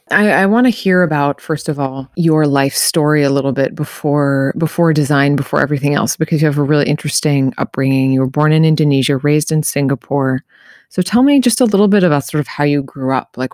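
A woman's voice: low-pitched, a little fast, darkish tone, no echo, clean studio sound. A woman hosting an interview podcast, talking in a conversational, reflective way with frequent rephrasing. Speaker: podcast host.

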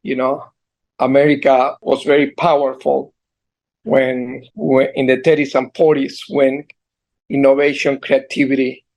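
A man in his sixties with an Argentine Spanish accent, a guest entrepreneur on a video call, telling his story earnestly with halting restarts. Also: mid-range voice, flat delivery, vocal fry, darkish tone, rough recording. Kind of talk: storytelling interview. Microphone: computer mic on a video call.

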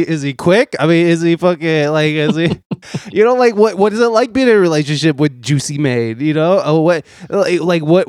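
A man hosting a podcast, talking excitably with lots of swearing, laughter and stumbling restarts. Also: a little high, no room sound, clean studio sound. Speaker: podcast host. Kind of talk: casual podcast conversation.

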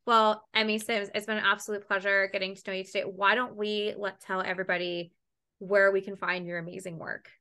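A woman's voice: mid-range voice, little pitch movement, fairly quick, sharp tone, smooth. A woman in her late twenties from Canada, hosting a casual podcast interview, chatty and swearing freely. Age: 20s. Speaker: podcast host.

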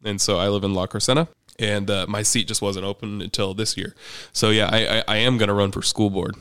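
A man's voice: on the low side, flat delivery, fairly quick, fairly bright tone, clear, resonant tone, no echo, studio quality. A man talking candidly and conversationally as a guest, recounting his own experiences. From United States. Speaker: podcast guest, parent activist.

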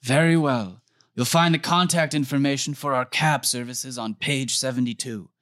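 A man's voice: mid-range voice, steady tone, normal speed, fairly bright tone, close to an everyday voice. A man playing a grandiose, ominous restaurant waiter with a pompous, portentous delivery in a comedy sketch read. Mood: formally polite.

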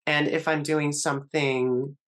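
A woman speaking as a guest in a casual conversation, thoughtful and measured. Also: sits low, little pitch movement. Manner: thoughtful, measured, open about voice.

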